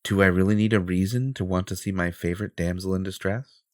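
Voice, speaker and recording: Man acting as a smug superhero, low voice, theatrical, self-important, flirtatious, possessive, close dry recording, audio drama. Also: steady tone, fast talker, no echo, lightly acted. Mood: teasing, defensive.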